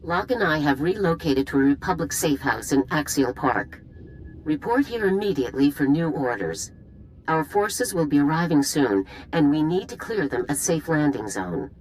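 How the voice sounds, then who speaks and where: A woman playing a stern, decisive general giving orders in a video game, formal and crisp. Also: low-pitched, animated, normal speed, darkish tone, slight background noise, poor audio. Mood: businesslike. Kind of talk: video game cutscene acting.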